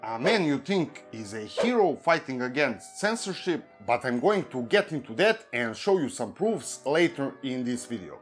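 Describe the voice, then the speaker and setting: A man with a Serbian accent, a native Serbian speaker, delivering scripted commentary in a measured, even way as he reads from a prepared text. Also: mid-range voice, soft background music. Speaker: YouTube commentary host. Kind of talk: scripted commentary read aloud.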